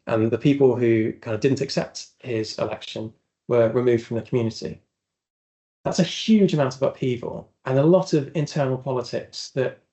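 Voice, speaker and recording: A man's voice: medium pitch, warm tone. A man giving an earnest, reflective seminar talk with slides in a university seminar room. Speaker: academic speaker.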